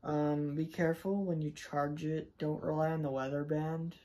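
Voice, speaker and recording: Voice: mid-range voice, fairly even intonation, moderate pace, mellow. A chatty co-host giving a casual, unscripted product review, speaking directly to viewers and a partner.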